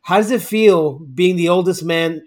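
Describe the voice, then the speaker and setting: A man co-hosting a casual podcast chat, excitable and laughing often, stumbling over repeated words. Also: high voice, normal speed. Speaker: podcast co-host.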